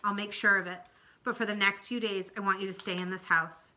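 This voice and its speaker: A woman reading aloud, voicing a weary, protective old grandfather in a low, tired way. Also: fairly even intonation, brisk, pushed and bright.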